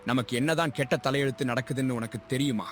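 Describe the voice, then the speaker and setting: A man's voice: mid-range voice, fast talker, fairly bright tone, soft background music, lightly acted. A man playing a poor, sickly labourer in a story drama, humble and pleading in manner. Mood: despairing. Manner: pleading, deferential. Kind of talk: dramatised moral story acting.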